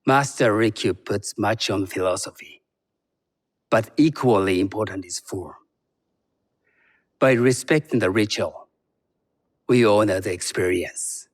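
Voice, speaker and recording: An actor playing a composed, shrewd Japanese tea master in a video game, speaking in a measured, formal, guarded way. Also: male voice, lowish voice. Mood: instructive, composed. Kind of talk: video game cutscene acting.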